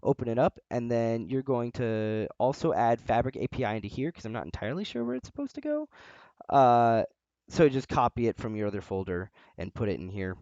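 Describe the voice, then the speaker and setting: A man with a loud voice talking through a game setup tutorial in a relaxed, rambling way, full of "uh" fillers. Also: mid-range voice, fairly quick, dry recording. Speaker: tutorial host. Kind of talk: casual screen-recorded game tutorial. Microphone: desk microphone near a loud keyboard. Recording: dull, slightly lo-fi home recording.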